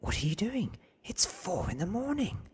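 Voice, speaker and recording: A man voicing an eager, naive, smitten teenage boy from a storybook in a breathy, questioning ASMR whisper. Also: sits high, sing-song, moderate pace, a little hiss or hum, pitched above the performer's own voice. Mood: surprised, pleased.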